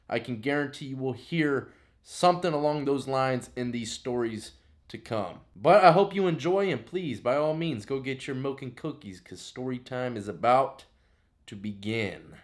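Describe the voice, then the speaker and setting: A man in his thirties with an American accent, hosting a show in a casual, slangy, informal way. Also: mid-range voice. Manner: informal, slangy, addresses listeners as "man" and "y'all". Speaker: host of a story-time video show. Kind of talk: casual chat. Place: small room with gaming setup at home.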